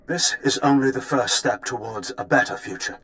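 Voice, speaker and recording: An actor playing a terrorist leader in a video game, delivering a defiant propaganda broadcast in an oratorical manner. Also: male voice, medium pitch, moderate pace, fairly bright tone, lightly acted. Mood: fervent, defiant. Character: fervent male rebel terrorist leader making a broadcast.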